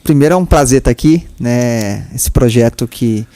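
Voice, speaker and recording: A man in his thirties from São Paulo with a São Paulo accent, telling his story as a podcast guest in a relaxed way. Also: mid-range voice, normal speed, no echo. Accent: São Paulo Brazilian Portuguese. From East Zone of São Paulo, Brazil. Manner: relaxed storytelling with fillers and laughs. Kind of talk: casual interview chat.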